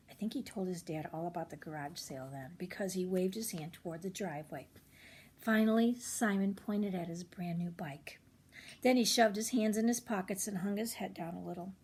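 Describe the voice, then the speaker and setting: Husky older woman reading a children's storybook. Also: on the low side, darkish tone. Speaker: children's read-aloud host. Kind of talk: expressive storybook narration with chatty asides to children.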